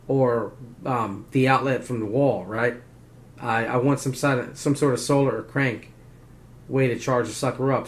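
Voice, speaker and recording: A man talking casually and unscripted as he demonstrates gear and gives advice, often checking in with "right?" and "you know". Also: medium pitch. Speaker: video host demonstrating radio gear.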